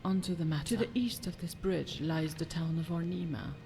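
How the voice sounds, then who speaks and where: Over prominent music comes a scheming noblewoman of a fantasy game, acted by a woman. Her low voice has a creaky edge. She speaks in formal, disdainful phrases, as one far above commoners. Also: fairly quick, lightly acted. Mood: haughty, composed. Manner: imperious, formal, disdainful diction. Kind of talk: fantasy video game dialogue. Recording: music behind the voice.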